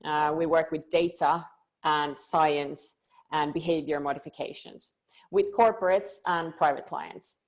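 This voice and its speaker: A middle-aged woman from Finland, giving an earnest conference presentation and pausing on frequent ums. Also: low voice. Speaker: company founder and conference speaker.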